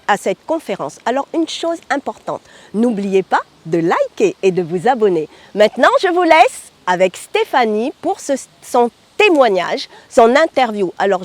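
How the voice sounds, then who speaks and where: A woman's voice: on the high side, dry recording. A woman hosting a programme, introducing an interview to viewers. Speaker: programme host.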